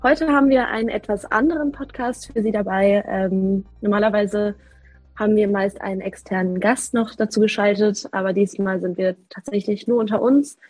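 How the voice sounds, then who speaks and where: A woman's voice: medium pitch, steady tone, moderate pace, a little mellow, faint music behind, low-quality recording. A twenty-year-old woman hosting a podcast, talking casually with frequent hesitations and filler sounds. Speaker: podcast host, association member.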